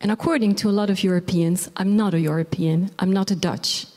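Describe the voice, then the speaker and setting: A woman's voice: fairly low pitch, steady tone, fairly quick, mellow. A woman born in Pakistan and living in the Netherlands, hosting a public evening and giving earnest, plainspoken closing remarks. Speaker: event host. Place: lecture hall with an audience. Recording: hall reverb, crowd in the room.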